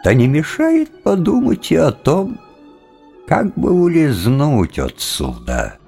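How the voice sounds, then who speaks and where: Wily young seminary philosopher, hugely swinging intonation, unhurried folksy drawl, evasive, audio drama, music underneath. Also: male voice, a little high, huge pitch swings, gravelly, warm tone, exaggerated voice. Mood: dreamy, scheming. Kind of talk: theatrical audio drama acting.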